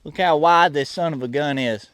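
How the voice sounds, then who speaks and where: A man putting on a high-pitched, boastful Southern country cowboy. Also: normal speed, a bit bright, lightly acted. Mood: boastful, relaxed. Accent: American Southern. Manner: drawling, folksy, bragging, calls listener son. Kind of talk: comedy skit monologue.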